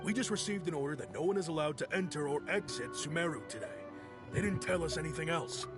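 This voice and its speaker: A man's voice: on the high side, expressive, fast talker, loud music behind the voice, lightly acted. A man voicing a curt, dismissive male city guard in a video game. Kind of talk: game dialogue.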